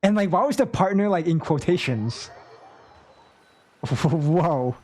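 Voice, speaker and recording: Animated male game streamer, musing informally. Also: fairly high pitch, slow. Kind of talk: casual live reaction commentary. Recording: game music behind the voice.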